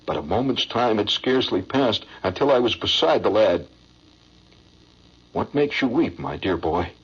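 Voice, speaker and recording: A man performing a solemn, sorrowful father who recites an old stage monologue in a drawn-out, theatrical way. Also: on the low side, big pitch swings, slightly rough, voice pushed down, heavily acted. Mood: grave, sorrowful. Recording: dull lo-fi old radio broadcast.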